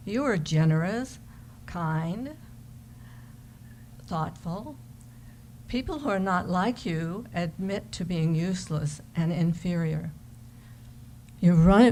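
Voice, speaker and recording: Woman playing adoring, yearning lover, unhurried and lowish. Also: a little mellow. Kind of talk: dramatic reading of a love letter. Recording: live stage recording with background noise.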